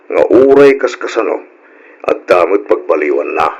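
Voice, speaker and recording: A lively, low-voiced older father. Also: normal speed, breathy edge, muffled recording, full character voice. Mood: wry, amused. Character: older easygoing, wry father and husband. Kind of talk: old radio drama acting.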